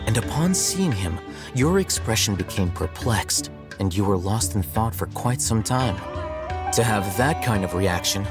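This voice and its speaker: Man playing an aloof, low-voiced young scholar. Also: lightly acted. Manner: measured, formal, dryly probing. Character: aloof, analytical young male scholar, calm and shrewd. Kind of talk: video game cutscene acting.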